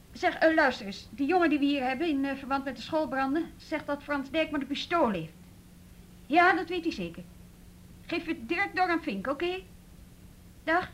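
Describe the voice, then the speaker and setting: A woman's voice: high-pitched, a little hiss or hum, low-quality recording. A woman acting a firm, patient, businesslike juvenile police detective in a naturalistic radio drama. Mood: urgent, businesslike.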